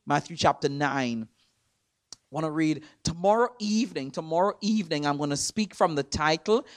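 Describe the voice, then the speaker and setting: A man from Trinidad with a Trinidadian accent, preaching in a church. He stresses words hard, repeats himself and swings between loud and soft. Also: fairly high pitch, animated, normal speed. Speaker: guest preacher. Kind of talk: sermon. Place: church sanctuary.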